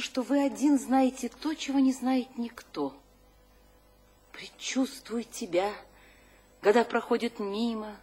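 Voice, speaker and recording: An actress playing an imperious, fervently mystical literary salon hostess in an audio drama, with theatrical declamation and dramatic pauses. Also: female voice, on the high side, a little mellow, breathy edge. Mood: intimate, imperious, then rapt, yearning. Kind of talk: audio drama stage acting.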